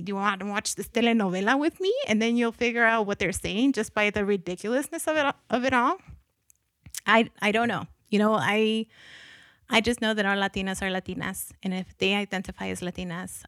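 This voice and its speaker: A woman's voice: medium pitch, fairly quick, fairly bright tone, no echo, studio quality. A woman in her thirties from Guatemala with a slight Guatemalan Spanish accent, speaking earnestly and reflectively in a personal monologue.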